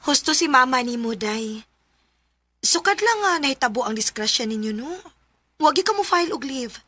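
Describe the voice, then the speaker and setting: Casting: caring, teasing gal pal, high and bright, chatty radio drama delivery. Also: female voice, expressive, moderate pace, full character voice. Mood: concerned. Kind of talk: Filipino radio drama acting.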